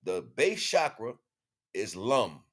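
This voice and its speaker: Male livestream host, fairly low voice, informal spiritual monologue, emphatic and slangy with repeated phrases. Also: big pitch swings, takes it slowly.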